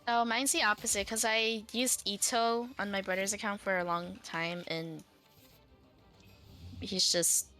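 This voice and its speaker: A woman's voice: mid-range voice, fairly even intonation, a little slow, sharp tone. A woman chatting casually with friends during a game, speaking as an encouraging teammate. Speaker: gaming companion on stream. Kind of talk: casual gaming chat. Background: music.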